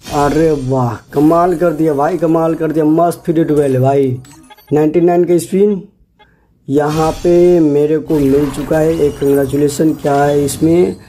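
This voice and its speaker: A man's voice: on the high side, fairly even intonation, a little slow, warm tone, a little hiss or hum. A man with an Indian accent giving casual, chatty gameplay commentary as a video host, often addressing and repeating himself to viewers. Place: voice-over on a screen recording.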